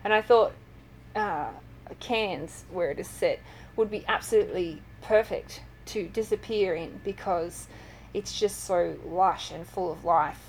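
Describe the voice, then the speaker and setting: An Australian woman talks as an interview guest, rambling through anecdotes with plenty of fillers. Her voice sits a touch low, with a faint hum of noise behind it. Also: measured pace, rough recording. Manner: chatty storyteller, many fillers. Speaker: author and guest. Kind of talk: casual interview chat.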